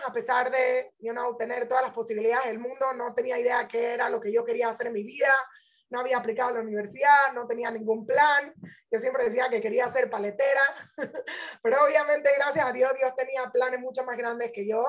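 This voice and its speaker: Woman, thirties, high voice, informal religious motivational teaching, English phrases mixed in, dull lo-fi audio. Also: fairly even intonation, fairly quick. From born in Venezuela, grew up in Panama. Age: 30s. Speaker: Torah teacher. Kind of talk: religious motivational talk with personal storytelling.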